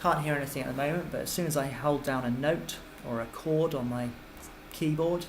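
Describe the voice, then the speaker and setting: A man giving an informal, step-by-step software tutorial as a music technology teacher, explaining as he goes. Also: fairly high pitch, fairly even intonation, fairly quick. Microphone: computer microphone. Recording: clearly noisy computer microphone recording.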